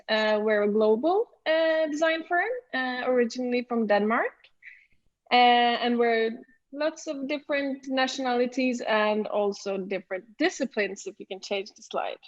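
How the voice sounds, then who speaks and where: A woman leading a service design team, giving a relaxed, chatty introduction of her company. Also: fairly high pitch, dull audio.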